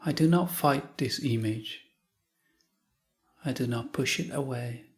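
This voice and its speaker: Slow, calm man guiding meditation. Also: mid-range voice. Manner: slow, calm phrases with long pauses. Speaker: meditation teacher. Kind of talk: guided meditation.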